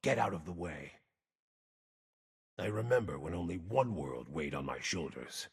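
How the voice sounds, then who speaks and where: A very husky, gravelly game mage, weary and brooding. His grim, terse lines swing widely in pitch and ring with long reverb. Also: lively intonation, normal speed, exaggerated voice. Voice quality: raspy, gravelly. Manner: terse, grim, theatrical delivery. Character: weary, gruff, brooding wandering mage guarding dangerous runes. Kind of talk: video game character voice lines.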